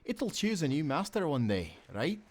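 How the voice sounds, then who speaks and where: An actor playing a loyal, tender sailor in a nautical audio roleplay, speaking in an earnest, gentle way. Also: male voice, on the high side, big pitch swings, normal speed. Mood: curious, sympathetic. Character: loyal, tender young sailor, devoted companion.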